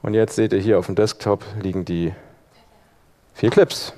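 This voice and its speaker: A man presenting a workshop, explaining a software demonstration informally and talking himself through each step as he works. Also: fairly low pitch, fairly even intonation, normal speed. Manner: informal, talking through clicks while working. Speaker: workshop presenter, audio editor.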